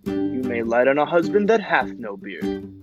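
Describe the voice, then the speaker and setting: Very animated fatherly gentleman acted by a man. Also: medium pitch, moderate pace, over music, natural voice. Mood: wry. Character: older gentleman, fond but commanding father and uncle. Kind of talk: Shakespearean radio play acting.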